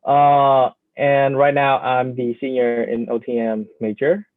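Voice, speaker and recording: A young man from Vietnam, a student introducing himself hesitantly with many pauses and fillers on a video call. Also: medium pitch, level tone, dry recording. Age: young adult. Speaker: student scholarship recipient. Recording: dry, tinny phone-like audio.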